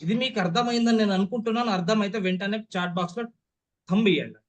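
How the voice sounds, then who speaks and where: A man's voice: sits high, very fast. A young man with a Telugu accent lecturing an online class as a public speaking trainer, stressing key words and often checking that listeners follow with rhetorical questions.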